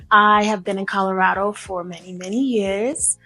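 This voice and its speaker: A woman's voice: medium pitch, fairly even intonation, moderate pace, bright, forceful tone, dry recording, faint music behind. A woman guest from Memphis whose first language is Arabic, speaking casually with fillers and restarts. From Memphis, Tennessee, US. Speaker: guest artist. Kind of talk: casual conversational interview.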